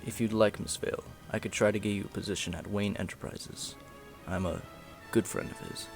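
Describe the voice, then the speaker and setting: A man acting a calm, suave male vigilante in an amateur radio play. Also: fairly low pitch, fairly quick, music in the background, lightly acted. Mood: cool, reserved.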